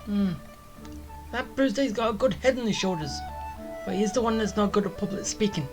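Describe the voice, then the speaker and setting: A woman's voice: mid-range voice, moderate pace, rough recording. A woman game streamer giving casual, off-the-cuff commentary as she plays. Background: faint game music.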